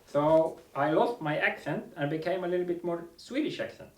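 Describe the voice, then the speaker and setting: A man's voice: on the high side, lively intonation, normal speed, a little mellow, close to an everyday voice. A man playing a stammering, naive sidekick. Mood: bumbling. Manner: hesitant, stammering, confused. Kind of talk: mockumentary film acting. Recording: roomy echo from a distance.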